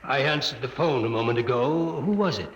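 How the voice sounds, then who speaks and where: A man's voice: medium pitch, a little fast, fairly bright tone, muffled recording, slight background noise, lightly acted. A male actor playing a curious, watchful member of a rocket crew in a 1950s radio drama, polite and probing. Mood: curious, wary.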